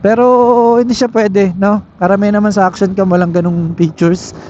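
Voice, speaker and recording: A Filipino man with a high-pitched voice talks casually through a product review, explaining and checking in with the viewer. His helmet intercom sounds dull, with faint vehicle noise behind him as he rides. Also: level tone, a little fast, dark tone. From Philippines. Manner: explanatory, with frequent tag questions. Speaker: motovlogger reviewing gear. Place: on a moving motorcycle, inside a helmet. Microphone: helmet intercom microphone.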